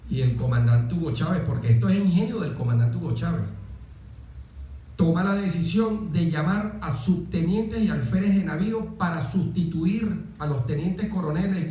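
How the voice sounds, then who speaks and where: Interview guest: Venezuelan man, middle-aged military officer, mellow tone, emphatic storyteller, heavy room echo. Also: on the high side, a little hiss or hum. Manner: emphatic repetition, rhetorical questions. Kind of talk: conversational anecdotal interview. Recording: very echoey, reverberant room.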